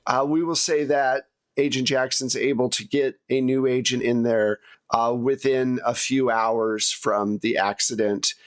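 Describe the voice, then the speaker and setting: A man's voice: mid-range voice, fairly even intonation, unhurried. A man speaking as game master and host of an actual-play podcast, in a conversational style.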